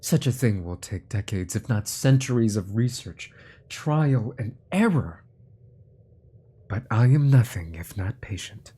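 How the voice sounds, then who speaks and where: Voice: medium pitch, expressive, a little slow, mellow, breathy edge. An actor playing a menacing, centuries-old sorcerer-scientist in a fantasy audio drama, with a smug, theatrical delivery that slips into whispers. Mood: gloating, patient.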